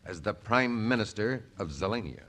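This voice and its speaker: A man's voice: lowish voice, animated, natural voice. A man playing a scheming, wisecracking deposed prime minister in a 1940s radio comedy. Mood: comic indignation. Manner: fast-talking comic wheedling, exaggerated. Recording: noisy old 1940s radio broadcast.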